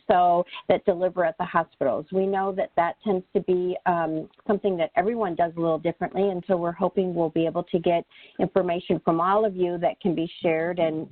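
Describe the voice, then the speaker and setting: A woman's voice: fairly low pitch, no echo. A woman explaining slides as a presenter on a conference call. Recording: dry, narrow phone-like call audio.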